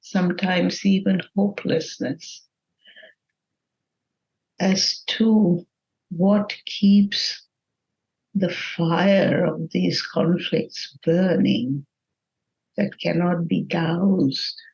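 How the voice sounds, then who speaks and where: A woman leading a guided meditation in a gentle, measured manner with long pauses between phrases. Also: on the low side, fairly even intonation, creaky, dull audio.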